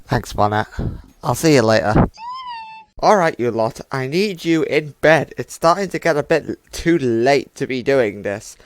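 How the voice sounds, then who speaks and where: A woman's voice: a bass voice, lively intonation, a little slow. A woman voicing a caring, protective robot guardian, reassuring yet firm, in a fan comic dub. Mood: concerned, firm.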